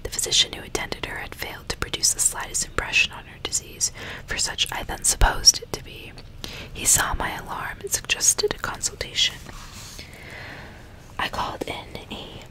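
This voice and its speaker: Woman whispering an old novel for asmr, all breath, soft careful reading. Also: moderate pace, rough recording. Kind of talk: ASMR, whispered book reading with casual asides.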